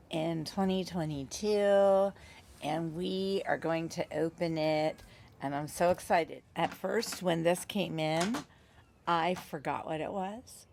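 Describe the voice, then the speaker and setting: Woman, low voice, slow gushing gardening vlog, faint outdoor noise. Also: vocal fry. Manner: gushing and excited. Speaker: gardening channel host. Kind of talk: casual vlog narration.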